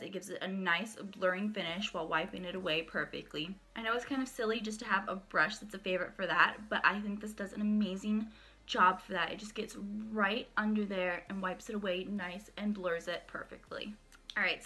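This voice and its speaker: A young woman talks to camera at home in a bright, crisp voice, chatty and upbeat as she shows off each item. She is sick, so a slight crack slips into her voice now and then. Her pitch sits in the ordinary middle range. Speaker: beauty vlogger. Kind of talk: casual product walkthrough. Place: small room at home, indoors.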